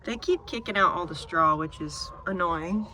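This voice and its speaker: A relaxed farm vlogger with a drawl who talks through the chores outdoors, filling pauses with ums and uhs. The voice is a touch nasal from a stuffy nose. Steady outdoor noise runs under the whole recording. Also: mid-range voice. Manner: easygoing, chatty, many ums and uhs. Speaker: small farmer vlogging their farm. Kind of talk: casual vlog narration. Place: outdoors on a farm, by a chicken coop.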